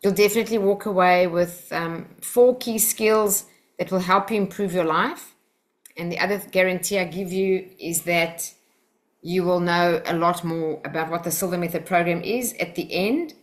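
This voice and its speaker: A woman's voice: fairly low pitch, normal speed. A South African woman teaching a mind development webinar over a video call, in a direct, encouraging, chatty way. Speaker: Silva Method instructor.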